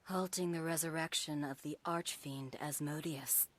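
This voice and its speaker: A woman's voice: deep voice, steady tone, normal speed. An actress playing a cool, aloof warrior woman in a video game, curt and dryly condescending. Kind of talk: video game cutscene acting.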